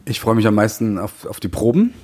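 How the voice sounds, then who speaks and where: Low-voiced man, halting and conversational. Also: big pitch swings, a little mellow, husky. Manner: halting, repeats words as he thinks. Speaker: podcast host, musical maker. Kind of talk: casual podcast chat.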